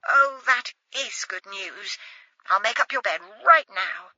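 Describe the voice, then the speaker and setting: Soft posh timid mum, older lady, quiet and a bit breathless with fondness, woman reading her. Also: mid-range voice, expressive, a little slow, a crowd in the background, close to an everyday voice. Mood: timid, fond. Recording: slightly dull, dry recording.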